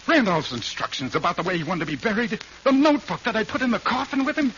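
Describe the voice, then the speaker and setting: Frantic man, high-pitched and animated. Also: fast. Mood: anxious, pleading. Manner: stammering, urgent, pleading. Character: frantic, frightened, earnest ordinary man pleading. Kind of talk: 1940s radio thriller acting. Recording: muffled, hissy, noisy old radio.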